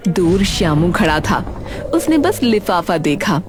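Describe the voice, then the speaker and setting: A woman's voice: fairly low pitch, sing-song, unhurried. A woman narrating a scripted folk tale as a storyteller. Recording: music behind the voice.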